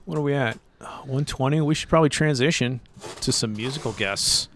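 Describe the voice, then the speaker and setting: A man co-hosting a casual podcast about baseball, rambling and relaxed, saying "dude" a lot. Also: mid-range voice, a little fast, a little hiss or hum. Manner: laid-back, rambling, says "dude" a lot. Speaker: podcast co-host.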